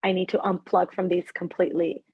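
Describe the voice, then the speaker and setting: A woman from Ecuador, a podcast guest, talking in a reflective, animated way about her life. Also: on the low side, a little fast, husky, muffled recording. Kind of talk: casual conversation.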